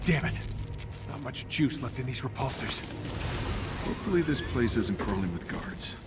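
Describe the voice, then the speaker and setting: Actor as wry superhero inventor, music behind. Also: male voice, mid-range voice, big pitch swings, normal speed, natural voice. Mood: wry, focused. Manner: wry, muttering to himself. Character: wisecracking adult male armored superhero inventor. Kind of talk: video game voice acting.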